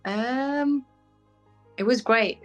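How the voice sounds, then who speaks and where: A young woman from Syria speaks with a British accent, her first language being Arabic. She answers interview questions frankly and casually, often pausing with "um" to find her words. Soft music plays faintly behind her. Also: fairly high pitch. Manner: frank, fills pauses with "um", searches for words. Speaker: guest, bridal fashion designer.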